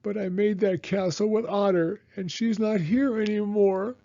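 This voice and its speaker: A man voicing a sulky, easily upset young boy character in a children's storybook, with a whiny, drawn-out delivery. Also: high-pitched, fairly even intonation, creaky, dark tone, no room sound, highs cut off, pitched above the performer's own voice. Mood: tearful, sad. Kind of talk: children's storybook read-aloud.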